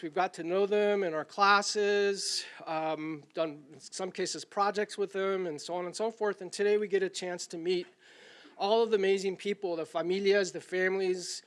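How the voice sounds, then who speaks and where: A man opening a university department graduation ceremony, speaking with a scratchy voice and a relaxed, loose manner, dropping in Spanish words. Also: high voice, moderate pace. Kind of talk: ceremony welcome speech.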